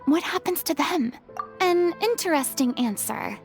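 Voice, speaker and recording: A woman's voice: high voice, expressive, unhurried, faint music behind. A woman playing a grand, theatrical young lady in a video game, speaking in a refined, dramatic and slightly pompous way. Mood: grand, musing.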